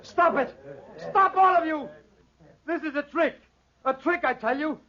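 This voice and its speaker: Very high-pitched blustering radio villain. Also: male voice, measured pace, full character voice. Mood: panicked bluster. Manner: agitated, forceful, declamatory. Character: cornered, blustering villain, a desperate accused killer. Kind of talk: 1940s radio acting. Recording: dull old 1940s radio recording.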